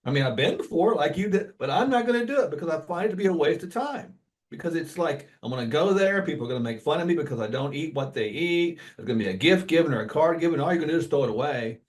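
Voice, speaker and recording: Male co-host, slightly higher voice, earnest, aphoristic, agreeable. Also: animated, fast talker. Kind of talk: conversational business talk.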